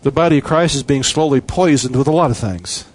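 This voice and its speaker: A man's voice: medium pitch, animated, fairly quick, darkish tone. A man preaching a sermon with an emphatic, repetitive cadence.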